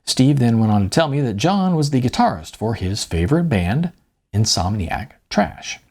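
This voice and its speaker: A man's voice: mid-range voice, big pitch swings, moderate pace, a little mellow, husky. A middle-aged man narrating an audiobook in the first person, with a wry storytelling manner. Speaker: author reading own audiobook.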